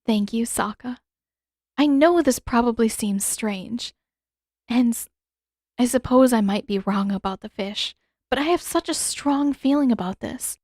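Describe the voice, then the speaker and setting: A woman voicing a gentle, earnest young woman with a pleading, devoted manner in an audiobook story. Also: a little high, measured pace, dry recording, studio quality. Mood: softly grateful.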